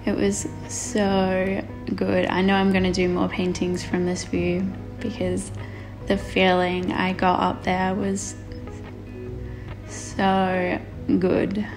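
A young woman from Australia, casually narrating her painting video and telling a story, chatty and relaxed, laughing often. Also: lowish voice, takes it slowly. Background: faint background music.

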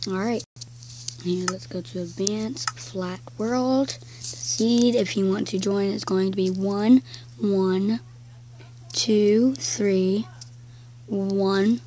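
A woman with a croaky voice giving casual gameplay commentary, slowly counting blocks aloud as she builds. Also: mid-range voice, steady tone, dark tone, rough recording. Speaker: game video creator.

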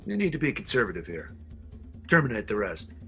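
A man's voice: fairly low pitch, wildly swooping pitch, fairly quick, fairly bright tone, slightly rough, soft background music, heavily acted. A man acting a scheming undercover spy villain who poses as a boss, in a script read. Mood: cold, commanding.

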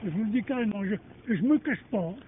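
An older man with a strikingly high voice speaks bluntly and indignantly on the street. The sound is thin and phone-like, with traffic hum underneath. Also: lively intonation, fast. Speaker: passer-by interviewed on the street. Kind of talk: street interview. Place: outdoors by a street car park. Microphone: handheld mic held by interviewer.

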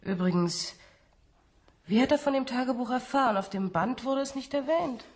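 A woman acting a cautious, evasive university researcher in a radio drama, speaking in a careful, hesitant, measured way. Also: a little high, expressive, darkish tone, close to an everyday voice. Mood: concerned. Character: cautious female scientist, evasive, uneasy researcher.

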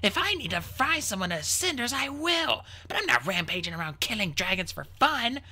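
A woman's voice: mid-range voice, very animated, moderate pace, bright, forceful tone, pitched above the performer's own voice, heavily acted. A woman voicing a fiery, sarcastic young female dragon in an indignant manner for an audiobook.

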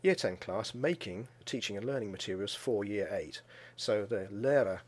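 Husky low-voiced man presenting. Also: animated. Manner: measured and explanatory, with frequent "uh" pauses. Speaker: school educator presenting at a conference. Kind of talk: conference presentation narrating slides and video.

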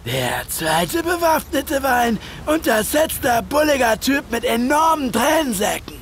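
Radio drama narrator, male actor as confused would-be killer: slow, halting, theatrical, highly animated. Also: soft background music, exaggerated voice. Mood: tense, dramatic. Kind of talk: dramatic first-person radio play narration.

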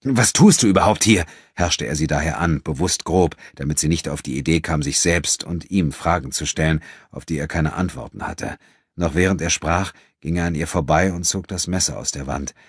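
Ein Mann, der als Hörbucherzähler einen literarischen Text dramatisch vorliest. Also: male voice, extremely low pitch, steady tone, moderate pace, slightly rough, dry recording, clean studio sound. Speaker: audiobook narrator. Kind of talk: dramatic scripted literary narration.

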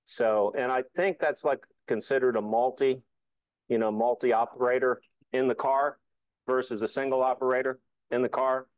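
A man's voice: medium pitch, steady tone, moderate pace, no echo. A middle-aged man giving an informal club presentation, explaining things in a conversational way. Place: indoor meeting room.

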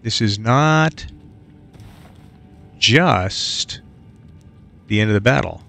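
Easygoing gravelly-voiced man playing a game. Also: fairly low pitch, lively intonation, very slow, a bit bright. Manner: easygoing, muttering to himself with filler sounds. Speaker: let's play gamer. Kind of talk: casual gameplay commentary.

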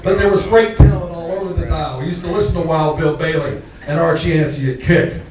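A man's voice: on the high side, a little fast, noisy background. An older man from Texas giving an award acceptance speech on stage, telling stories in a jovial way with a handheld microphone. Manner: jovial, storytelling, laughs along with the crowd. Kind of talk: anecdotal speech to an audience. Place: large hall, on stage. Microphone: handheld mic, filmed from far off. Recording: echoey hall, far from the camera.

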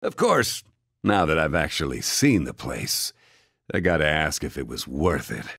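An actor playing an arrogant, menacing warlord in a video game, taunting in a drawling, mock-polite way. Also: male voice, a bass voice, big pitch swings, a little slow, creaky, a bit bright, slightly rough, dry recording, studio quality, heavily acted. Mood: smug threat. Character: arrogant, menacing male warlord of an invading army.

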